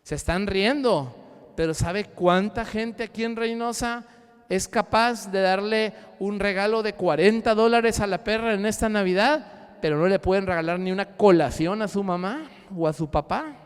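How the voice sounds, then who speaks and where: A pastor preaches in a church hall, his high, smooth, ringing voice trailing off into a long reverb. He rises to loud, climbing cries, then drops to hushed, low asides. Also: normal speed. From Mexico. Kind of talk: sermon. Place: church sanctuary.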